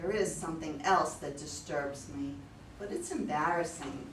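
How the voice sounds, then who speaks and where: A woman's voice: low-pitched, moderate pace, natural voice. A woman playing an anxious, indignant wife who believes she is watched online, in a staged play reading. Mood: worried. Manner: defensive, exclamatory. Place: room at a live readers' theater reading. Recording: echoey room, distant mic, faint noise.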